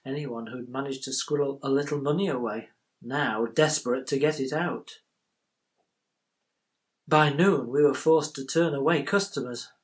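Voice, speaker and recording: A male actor as a loyal Victorian bank clerk in a period stage monologue. He tells his tale with measured gravity and tender feeling, in a dramatic storyteller's delivery. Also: mid-range voice, a bit bright, close to an everyday voice. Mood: grave, urgent.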